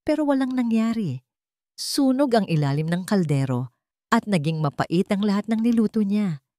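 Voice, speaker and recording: A woman's voice: medium pitch, lively intonation, moderate pace, dark tone, no room sound, studio quality. A woman with a Filipino accent reading a scripted folktale for children as a storyteller. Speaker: storyteller and channel host.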